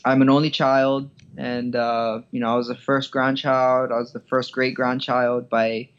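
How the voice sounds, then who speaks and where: The sound is dull and a bit lo-fi. A man from Hawaii with a medium-pitched voice answers as an interview guest. He chats casually, in a hesitant and halting manner. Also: little pitch movement, clear, resonant tone. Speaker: podcast guest, professional golfer.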